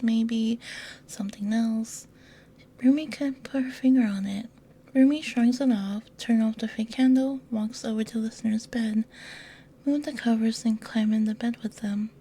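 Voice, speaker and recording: A woman narrating a bedtime story in ASMR style, in a hushed, gentle whisper close to a binaural microphone. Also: fairly high pitch, slightly rough.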